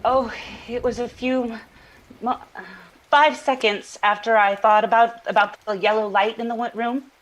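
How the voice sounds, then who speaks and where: An actress plays a woman with a fairly high voice, earnest and troubled, in a naturalistic audio drama. She recounts a strange dream haltingly, doubling back on her words as she searches for the right ones. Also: steady tone, natural voice. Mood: earnest, recalling.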